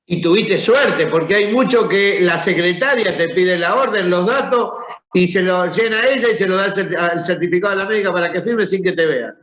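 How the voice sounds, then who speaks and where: A man's voice: sits high, brisk, fairly bright tone. A man with a Rioplatense Spanish accent, teaching a class in a conversational way and putting questions to his students. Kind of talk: classroom lecture. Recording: echoey room, dull sound.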